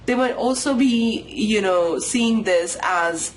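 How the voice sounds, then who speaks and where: A tarot reader giving a pick-a-card reading, voice nasal and a bit raspy from a cold, musing slowly with pauses. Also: mid-range voice, poor audio. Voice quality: slightly raspy. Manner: unhurried, intuitive musing with pauses.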